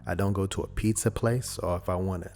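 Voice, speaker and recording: A man presenting a casual software tutorial, explaining informally and thinking aloud as he goes. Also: deep voice, fairly even intonation, a little fast. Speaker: audio editing tutorial presenter.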